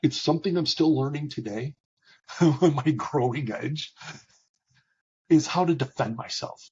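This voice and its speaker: A middle-aged man with a big booming voice, talking candidly as an interview guest, with many restarts and fillers. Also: unhurried, husky, muffled recording. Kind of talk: reflective conversational interview.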